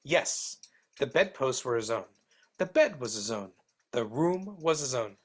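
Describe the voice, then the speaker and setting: A woman playing the storyteller of a Christmas tale in a live dramatic reading, with a husky voice. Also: very deep voice, very animated, a little slow, full character voice. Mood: storytelling. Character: storyteller narrator of a Christmas tale, wry and earnest.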